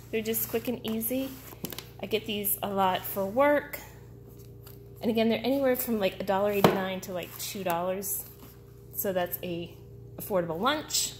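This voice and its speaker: A woman's voice: on the high side, a little hiss or hum. A middle-aged woman casually chatting through a grocery haul at her kitchen table, rambling with fillers as she goes. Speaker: vlogger. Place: home kitchen table, indoors.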